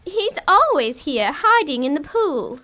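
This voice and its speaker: A woman's voice: sits high, sing-song, a little slow, sharp tone, full character voice. A woman playing a timid, secretive seven-year-old English girl in an audio horror drama, childlike and earnest.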